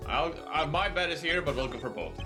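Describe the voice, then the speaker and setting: A woman's voice: deep voice, big pitch swings, fairly quick, music in the background. A woman chatting casually while playing a game.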